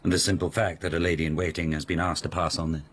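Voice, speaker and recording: An actor playing a haughty, menacing palace lord of ancient Japan in a radio drama, with an imperious, sneering, deliberate delivery. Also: male voice, flat delivery, fairly bright tone. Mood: haughty, dismissive. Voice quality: deep, husky.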